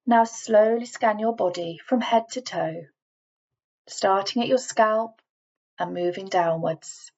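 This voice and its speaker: Slow-paced woman guiding a meditation. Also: mid-range voice, a little mellow, muffled recording. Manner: measured, instructing, with deliberate pauses between phrases. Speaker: guided relaxation narrator. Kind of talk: scripted guided meditation voiceover.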